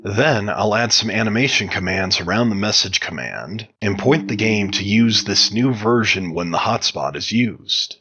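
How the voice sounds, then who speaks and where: A man giving measured, explanatory interview answers as a game modder, sounding like he reads from prepared text. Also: fairly low pitch, animated, muffled recording.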